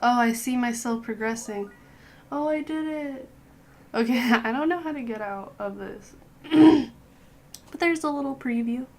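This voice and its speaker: Hoarse young woman, slow gaming guide. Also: fairly high pitch, slight background noise. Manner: unhurried, clears throat often. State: hoarse from a cold. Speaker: gaming youtuber. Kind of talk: casual game guide voice-over.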